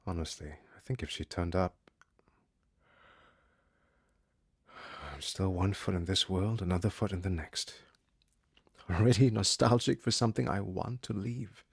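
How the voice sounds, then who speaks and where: An actor playing a brooding, guarded musician with a breathy, husky voice in an introspective audio drama. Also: male voice, deep voice, moderate pace, dry recording, clean studio sound, full character voice. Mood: reflective, weary. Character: brooding, guarded male musician leaving his lover.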